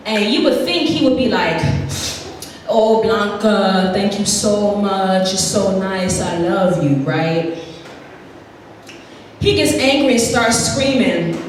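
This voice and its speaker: A woman's voice: medium pitch, a little hiss or hum. Sassy puerto rican lady played by a woman, wry storytelling, big echoey hall from far away. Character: sassy Puerto Rican woman telling about her brother. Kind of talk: stage monologue.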